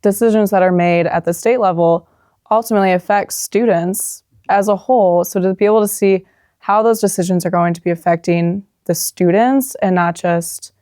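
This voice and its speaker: A young woman from South Dakota leads the student government and answers questions in an upbeat, confident way. Her voice is smooth and clear, with a mid-range pitch. The sound is dry and close. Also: moderate pace, mellow, no echo. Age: young adult. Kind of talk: interview answers.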